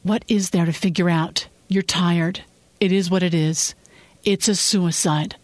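A narrator voicing a worried, frustrated husband who talks bluntly. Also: male voice, sits high, fairly even intonation, a little slow, no echo. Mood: stern. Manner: anxious, then stern and blunt.

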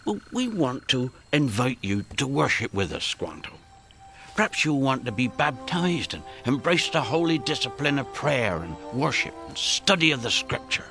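An actor playing a kindly, earnest Puritan reverend in a radio drama, hesitant and gently preachy. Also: male voice, mid-range voice, very animated, normal speed, creaky, full character voice. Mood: earnest, welcoming. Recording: faint music behind. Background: faint music.

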